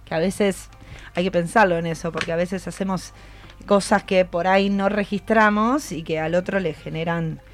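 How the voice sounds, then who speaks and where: A woman's voice: fairly low pitch, fairly even intonation, moderate pace, faint music behind. A woman with a Rioplatense Argentine accent hosting a conversational radio interview, often checking back with her guests. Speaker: radio host.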